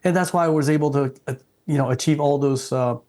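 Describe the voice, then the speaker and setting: A man's voice: a little high, fast, a little mellow. A middle-aged man, born in Vietnam and speaking English, being interviewed and talking earnestly and respectfully, often restarting his sentences. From South Vietnam. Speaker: guest.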